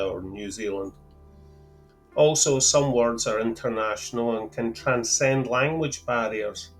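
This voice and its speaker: A man with a Scottish accent explaining fuel terms to camera in a careful, deliberate way, with a lightly wry touch. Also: medium pitch. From Scotland. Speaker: outdoor gear channel host. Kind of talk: measured explanatory talk to camera.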